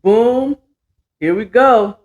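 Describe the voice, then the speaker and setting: Slow-talking American woman, casual host. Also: fairly high pitch, darkish tone, lo-fi sound. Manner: folksy, talks to herself while working. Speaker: host of a storytelling show. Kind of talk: casual chat to viewers.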